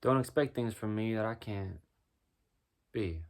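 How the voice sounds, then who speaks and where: A man with a deep voice doing a rhyming spoken-word freestyle, musing and preaching as he goes. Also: measured pace. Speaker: freestyle musician.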